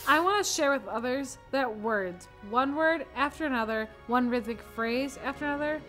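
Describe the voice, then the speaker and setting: Woman, high voice, staged recitation, faint music behind. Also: expressive, normal speed. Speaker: readers theater performer. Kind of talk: scripted recitation.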